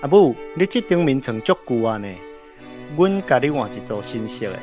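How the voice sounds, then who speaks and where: A woman's voice: very deep voice, sing-song, moderate pace, over music. A woman playing a boisterous, teasing grown-up in a family radio drama, exclaiming theatrically with a Taiwanese accent. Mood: eager persuading.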